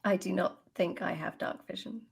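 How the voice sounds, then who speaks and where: Female tabletop player, lowish creaky voice, deliberate formal wording, improvised in-character lines. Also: steady tone. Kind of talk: improvised in-character role-play.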